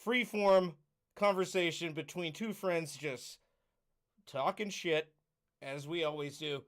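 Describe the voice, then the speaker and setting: A man's voice: fairly high pitch, slow, fairly bright tone. A slightly drunk man hosting a podcast, crude and boisterous, introducing his co-host like a showman. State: a bit drunk. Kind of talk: casual chat.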